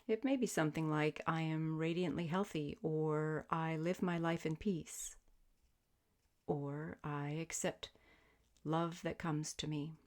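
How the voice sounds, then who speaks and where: A woman guiding a sleep meditation as a yoga teacher, speaking in gentle, soothing, measured invitations. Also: sits low, fairly even intonation. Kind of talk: guided sleep meditation, spoken instruction.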